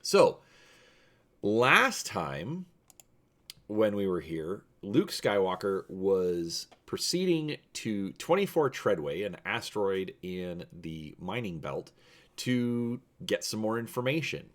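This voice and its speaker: A male host with a low-pitched voice narrates an adventure story. His delivery is that of a dramatic read-aloud from a storybook. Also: big pitch swings, takes it slowly.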